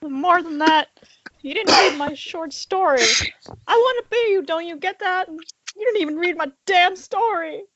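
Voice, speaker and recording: A woman playing a neglected boy craving attention, with a very high, bright voice. The kid is aggrieved and foul-mouthed, heard dry over a dull internet call. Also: normal speed. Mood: hurt, angry. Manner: aggrieved, profane. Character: bitter, neglected young son craving father's attention. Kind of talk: amateur table read of a screenplay.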